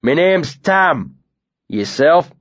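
A man voicing a loud, swaggering, bearded male mercenary who bullies with a thick northern accent, drawing out his taunts. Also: a little high, big pitch swings, no room sound, muffled recording, pitched above the performer's own voice, full character voice. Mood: menacing. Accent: northern English dialect, put on. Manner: mocking, swaggering, drawn-out.

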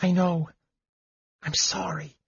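A tender young woman speaks in a hushed, breathy plea, as a male audiobook narrator puts her on. Her voice sits high and soft, and the recording is dull and dry. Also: animated, measured pace, no room sound, full character voice.

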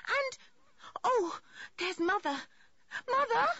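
A woman voicing a loud, hot-tempered young girl, anxious and urgent, in an audiobook story. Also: very high-pitched, animated, takes it slowly, dry recording, dull audio, pitched above the performer's own voice, heavily acted. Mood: upset. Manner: shouting, urgent, emotional. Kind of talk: audiobook character voice.

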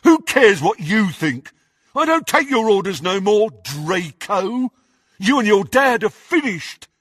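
A man voicing a huge, dim, thuggish teenage boy bully with rough grammar, in a storybook reading. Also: on the low side, lively intonation, slow, no room sound, clean studio sound, in a large hall, full character voice. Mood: defiant, ferocious. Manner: thuggish, uneducated grammar, belligerent.

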